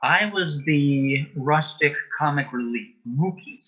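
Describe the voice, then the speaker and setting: A man's voice: a little high, slow, dull audio. A middle-aged man reminiscing as an interview guest in a precise, matter-of-fact, dryly humorous way. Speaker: guest, author and playwright. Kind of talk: casual reminiscing interview chat.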